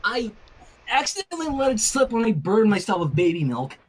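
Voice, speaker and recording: This is a narrator acting an anxious teenage girl stuck minding a baby in a cartoon fan story. Speech is husky and flustered, stumbling through apologies with pitch that jumps around. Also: big pitch swings. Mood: flustered, hesitant. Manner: hurried, apologetic, stumbling explanations.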